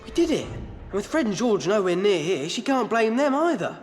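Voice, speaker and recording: A man's voice: sits high, very animated, normal speed, breathy edge, faint music behind, heavily acted. A man with an English accent playing a casual, good-natured teenage wizard sidekick in video game cutscenes. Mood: sheepish.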